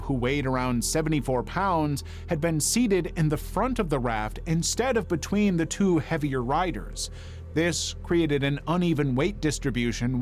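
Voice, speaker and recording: A man narrates a scripted documentary with dramatic storytelling. His voice sits a little high and moves through a wide, expressive range. Soft music plays underneath. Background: background music.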